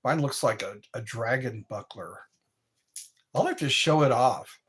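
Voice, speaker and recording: A middle-aged man hosts a live stream in a loose, meandering chat. He talks slowly, with a pitch that rises and falls freely, and he often laughs at his own jokes. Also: expressive, a bit bright, a little hiss or hum. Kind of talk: rambling casual chat to viewers.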